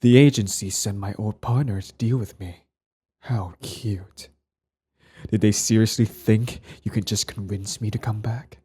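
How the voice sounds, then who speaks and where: A man playing a cold, sardonic villain with secret tenderness in an intimate ASMR roleplay, his voice low, husky and breathy. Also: fairly even intonation, warm tone. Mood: mocking, sardonic. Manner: slow deliberate delivery, sardonic, condescendingly affectionate. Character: cold, mocking male villain, protective ex-hero, secretly tender.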